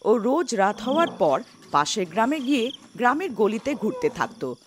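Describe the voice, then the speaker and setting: A woman's voice: a little high, sing-song, moderate pace, background noise. A woman narrating a scripted cartoon folk tale as a storyteller.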